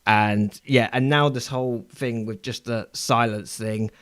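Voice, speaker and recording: A man in a casual podcast discussion, explaining his points in a measured, thinking-aloud way. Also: mid-range voice, steady tone, fairly bright tone, no echo, studio quality. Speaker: podcast panelist.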